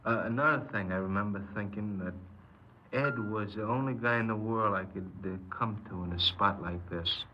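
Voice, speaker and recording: An actor playing a bitter, paranoid killer giving a halting confession in a 1950s radio crime drama. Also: male voice, on the low side, close to an everyday voice. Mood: brooding recollection. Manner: halting, brooding, resigned confessional delivery. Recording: dull, noisy 1950s radio recording.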